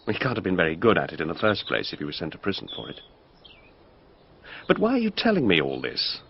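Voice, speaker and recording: An aloof, languid aesthete in a radio mystery, with a deep voice that moves widely in pitch. He sounds dreamy and theatrical, disdainful of intrusion. The sound is slightly dull. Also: wildly swooping pitch, fast talker, creaky, slightly rough, full character voice. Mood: guarded, dismissive. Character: aloof, dreamy male aesthete who loves beauty. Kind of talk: radio drama acting. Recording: dull radio recording with faint noise.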